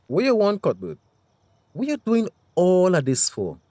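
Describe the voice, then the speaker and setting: A man's voice: high voice, huge pitch swings, a little slow, dark tone, exaggerated voice. An actor playing a brash, suspicious man in a radio drama, with a Tobagonian accent and a confrontational, mocking manner. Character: brash, suspicious man challenging everyone at a wake.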